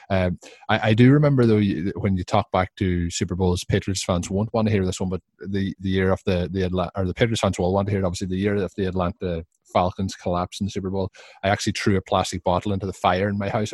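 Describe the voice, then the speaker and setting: A man with a deep voice talks as a podcast host about sport. His delivery is conversational and rambles a little, and the sound is dry and close. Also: a little mellow, dry recording. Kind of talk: casual sports chat.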